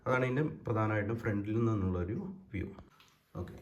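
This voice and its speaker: Low-voiced Kerala man in an echoey room. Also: a little fast, dull audio. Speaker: presenter of a gadget demonstration. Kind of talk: unscripted hands-on demonstration. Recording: echoey room with background hiss.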